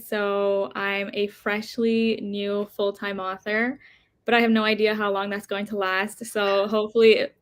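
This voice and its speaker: A woman's voice: medium pitch, a bit bright, clean tone. A young woman, a debut author, casually introducing herself and her book on a panel. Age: young adult.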